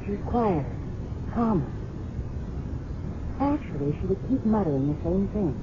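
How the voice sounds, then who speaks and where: A woman's voice: mid-range voice, very animated, normal speed, lightly acted. An American actress playing a shaken, candid roommate of a murdered woman in a 1970s radio drama, confiding hesitantly. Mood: troubled, recalling. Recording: muffled, noisy 1970s radio broadcast recording.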